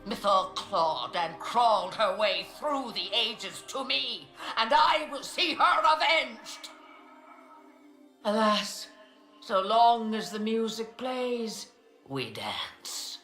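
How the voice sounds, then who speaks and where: Ancient witch from a game, commanding n theatrical, swoops around, slow, music behind. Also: fairly high pitch, lively intonation, sharp tone, exaggerated voice. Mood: bitter, vengeful. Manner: grand, archaic, deliberate phrasing. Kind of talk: dramatic video game acting. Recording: roomy reverb with music behind.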